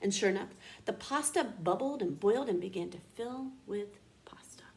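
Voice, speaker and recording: A woman reading a children's storybook aloud in an expressive, dramatic teacher's manner. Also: mid-range voice, normal speed, a little hiss or hum, low-quality recording.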